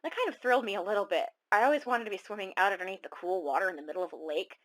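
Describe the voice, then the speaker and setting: A woman acting as a self-conscious caller on a horror radio drama. Her mid-pitched voice is rueful and confiding, wandering through a conversational monologue with small hesitations. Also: racing, a bit bright, natural voice. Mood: reflective, uneasy.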